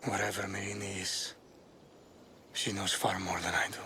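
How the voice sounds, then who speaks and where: A man's voice: sits low, level tone, moderate pace, bright, forceful tone, slight background noise. A man playing a stern, proud middle-aged Spartan warrior, solemn and formal, with a Greek accent, in game drama. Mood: regretful. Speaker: video game voice actor. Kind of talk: dramatic game cutscene acting.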